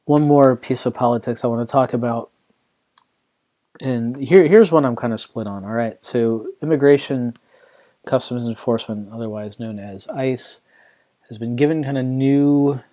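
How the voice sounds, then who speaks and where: A man's voice: mid-range voice, mellow. Rambling man hosting a podcast. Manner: rambling, many restarts and "you know" fillers. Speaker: podcast host. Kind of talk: casual solo podcast commentary.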